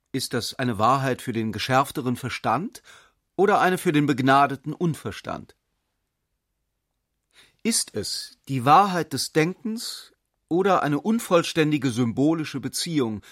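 Brooding essay guy reasoning out loud, radio play, probing and deliberate, dry close sound. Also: medium pitch, dry recording. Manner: probing, deliberate, philosophical questioning. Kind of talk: literary radio play monologue.

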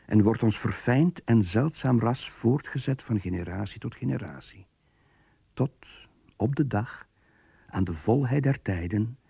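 A solemn storyteller in a radio drama. His voice is mellow and slightly husky, with a gravelly creak. He reads in a measured, scripted way in a dry studio sound. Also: lowish voice, no echo, full character voice. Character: solemn storyteller reading from a diary. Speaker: narrator.

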